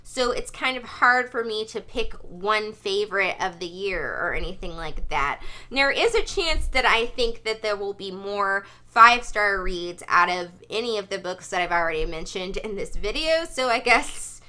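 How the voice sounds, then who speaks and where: A woman talking casually and chattily as a book vlogger, with emphatic, animated delivery. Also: mid-range voice, normal speed, hardly any pauses.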